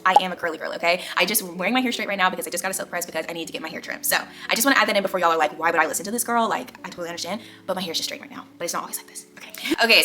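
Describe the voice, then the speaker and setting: A woman's voice: on the low side, pushed and bright, a little hiss or hum. A young American woman hosting a casual hair care tutorial, chatty and emphatic, addressing viewers like girlfriends. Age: young adult. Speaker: video host, natural hair care creator. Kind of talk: casual vlog tutorial talk.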